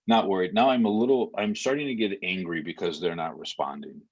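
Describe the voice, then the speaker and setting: A man as a podcast guest, talking casually and telling a story in a halting, rambling way, with many fillers and restarts. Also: deep voice, breathy edge. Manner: plain, matter-of-fact storytelling. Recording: slightly muffled lo-fi podcast audio.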